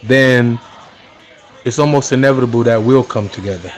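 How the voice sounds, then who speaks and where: Man, earnest, unhurried, lots of fillers, mid pitch. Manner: earnest, unhurried, frequent fillers like "you know" and "um". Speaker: interviewee, spiritual practitioner. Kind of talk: casual conversational explanation.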